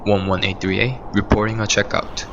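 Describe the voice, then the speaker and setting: A man's voice: fairly low pitch, little pitch movement, fairly quick, dull audio, natural voice. A man voicing a cautious state trooper in a school radio play, speaking earnestly and a bit hesitantly. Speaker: student actor. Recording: clear background noise.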